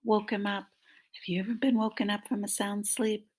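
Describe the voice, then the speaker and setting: A woman's voice: mid-range voice, level tone, moderate pace, a little mellow, breathy edge. A woman in her fifties reading a picture book aloud to young children, prompting them with questions, recorded on a laptop in a small room at home. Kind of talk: interactive children's story read-aloud. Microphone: laptop microphone at arm's length.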